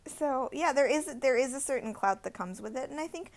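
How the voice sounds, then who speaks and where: A young woman from India co-hosting a podcast, telling a story in a casual, animated way with lots of "like" fillers. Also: high-pitched, brisk. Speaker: podcast co-host. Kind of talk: casual chat.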